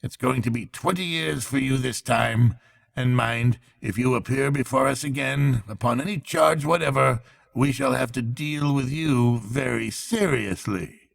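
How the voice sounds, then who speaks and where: A man voicing a cheerful, pompous magistrate in a storybook, speaking formally with deliberate emphasis and relishing harsh judgement. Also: mid-range voice, vocal fry, no echo, pitched above the performer's own voice. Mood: stern.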